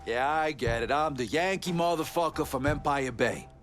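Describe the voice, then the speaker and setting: A man's voice: a little high, normal speed, over music, lightly acted. A man playing an aggrieved, tough Northern mobster in a crime game, coarse and pointed in his resentment. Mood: bitter, warning.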